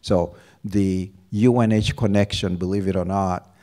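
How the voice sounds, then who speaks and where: A middle-aged man from Uganda, a university provost, answering interview questions on a campus stage with a handheld mic, measured and full of fillers. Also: low voice, steady tone, warm tone. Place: lounge stage before an audience. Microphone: handheld microphone.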